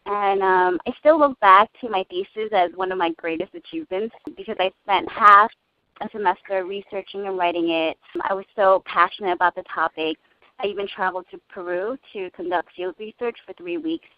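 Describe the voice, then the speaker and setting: A woman's voice: mid-range voice, brisk. Young woman, cantonese first language, earnest and thoughtful, muffled lo-fi sound, no room echo. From United States. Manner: earnest, thoughtful, many hesitations. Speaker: interview guest. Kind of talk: casual reflective interview. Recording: dull lo-fi dry recording.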